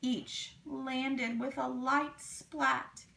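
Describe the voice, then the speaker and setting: A woman reading a children's story aloud in an expressive, deliberate, dramatic way. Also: sits high, vocal fry. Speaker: storybook reader.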